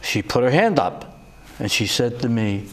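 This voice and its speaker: An older man hosting a political talk show, speaking slowly and deliberately with frequent pauses and fillers. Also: medium pitch, sing-song. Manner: slow and deliberate, many uh fillers. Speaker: host.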